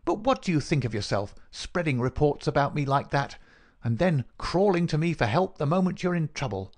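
A man's voice: a little high, very animated, normal speed, voice pushed up. A man voicing a cruel, mocking villain in a storybook reading, gloating and taunting with pointed questions. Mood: smug. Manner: taunting, insistent, rhetorical questioning.

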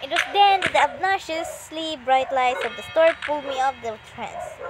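A woman reading a visual novel aloud as a gamer, expressively, with excited asides. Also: high voice, normal speed. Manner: expressive, dramatized reading with exclamations. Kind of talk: let's play narration with excited asides. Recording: loud music behind the voice. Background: loud game music.

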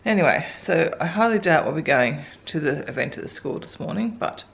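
An Australian woman in her forties chatting through a daily home vlog, rambling with soft ums and sounding a little congested. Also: sits low, lively intonation, brisk, vocal fry, breathy edge, low-quality recording. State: congested, getting a cold. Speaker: vlogger. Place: living room couch at home. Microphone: handheld camera held close.

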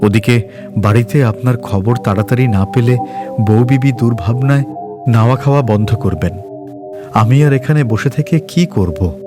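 A devoted manservant, acted by a male storyteller. He addresses his master with deference and a touch of self-importance, mid-pitched, over storybook music. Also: moderate pace, natural voice. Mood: earnest. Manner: deferential yet officious.

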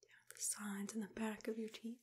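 A young woman in a soft, unhurried whisper, playing a gentle, reassuring dentist in an ASMR roleplay, close to the mic. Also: mid-range voice, little pitch movement. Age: young adult. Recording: clear background noise and room ambience.

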